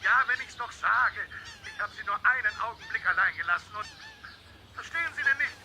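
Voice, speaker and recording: Shrill, panicking neighbour on the phone. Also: male voice, animated, fairly quick, vocal fry, sharp tone, full character voice. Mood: panicked. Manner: alarmed, high-strung, breathless delivery. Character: flustered, panicking male neighbour with a pitchfork. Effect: heard over a mobile phone. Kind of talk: children's radio play acting. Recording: noisy cassette transfer.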